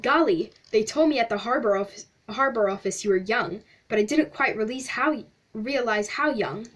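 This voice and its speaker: A fussy, self-important old explorer pours out flowery compliments in a raised, eager voice, as a woman reader puts him on. He babbles and gushes without pause. The voice sits fairly high. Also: fairly bright tone. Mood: effusive. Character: pompous chatty older male explorer, smallish.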